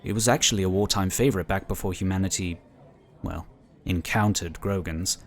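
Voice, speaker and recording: An actor playing an amiable, knowledgeable psionic inquisitor who explains things in a talkative, encouraging way in an audio drama. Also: male voice, deep voice.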